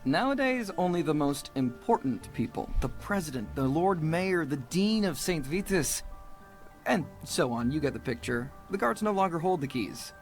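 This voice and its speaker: A woman's voice: deep voice, animated, moderate pace, darkish tone, faint music behind. An actress playing a friendly, chatty young woman puppeteer in a video game, with a teasing manner. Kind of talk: video game character dialogue.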